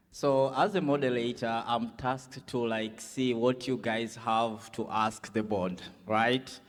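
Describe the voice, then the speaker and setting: Moderator, male, slow and smooth delivery, conference hall reverb. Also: mid-range voice. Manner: slow, upbeat, joking with the crowd. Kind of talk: moderating a panel session.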